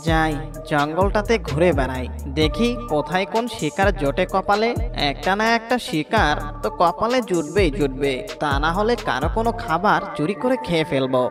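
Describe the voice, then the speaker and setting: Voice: medium pitch, animated, moderate pace, close to an everyday voice. An actor voicing a sly, hungry, thieving jackal in a children's cartoon, scheming aloud. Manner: scheming, self-talking. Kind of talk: Bengali children's cartoon voice acting. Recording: background music.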